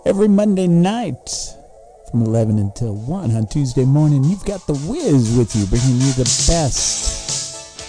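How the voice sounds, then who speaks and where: Man, radio promo, animated pitch, music behind. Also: unhurried. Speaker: radio show host, promo. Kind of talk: station promo.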